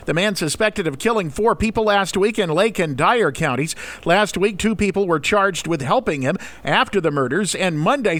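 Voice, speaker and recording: A man's voice: high voice, a bit bright. A man reading scripted radio news as the anchor.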